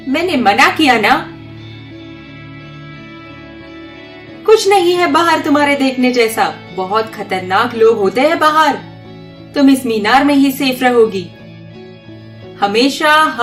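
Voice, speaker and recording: A woman's voice: sits high, expressive, a bit bright, far from the mic, soft background music, full character voice. A woman voicing a wicked, possessive witch in a cartoon fairy tale, theatrical and drawing out her words. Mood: stern, controlling. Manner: theatrical, drawn-out words with cackling laughter. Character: wicked, possessive witch posing as doting mother.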